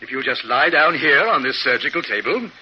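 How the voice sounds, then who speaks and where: Man acting an excitable, theatrical professor, old radio. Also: mid-range voice, a little fast, natural voice. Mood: gleefully coaxing. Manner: theatrical, chuckling, hesitant asides. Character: eager, eccentric male scientist professor, gleefully overenthusiastic. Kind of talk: 1950s radio drama acting. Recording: dull, noisy old radio recording.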